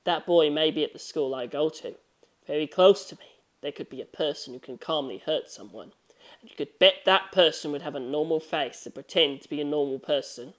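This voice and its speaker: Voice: medium pitch, a little fast, a bit bright, natural voice. Narrator as an earnest, anxious teenage schoolboy. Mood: grave, worried.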